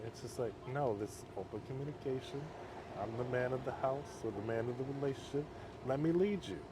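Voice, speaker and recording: Male counselor guest, measured and flat-toned, casual talk recorded outside near traffic. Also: mid-range voice. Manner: calm, measured, self-described monotone. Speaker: interview guest, halfway home counselor. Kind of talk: casual conversation. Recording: outdoor street noise.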